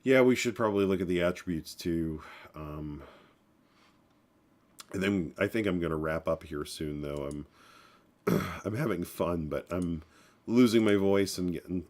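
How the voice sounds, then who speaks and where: A man's voice: low voice, measured pace, darkish tone. A man in his forties with a stuffed-up, congested voice, hosting a live programming stream and talking loosely with lots of fillers and restarts. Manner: rambling, many fillers and restarts. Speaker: programming livestream host. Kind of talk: casual live coding talk.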